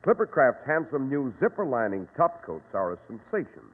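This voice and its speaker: A man works as an old-time radio announcer with a polished sales delivery. His pitch swings widely and his voice has a rough edge. The recording is tinny and slightly reverberant. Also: big pitch swings, normal speed. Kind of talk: 1940s scripted radio advertising and hosting.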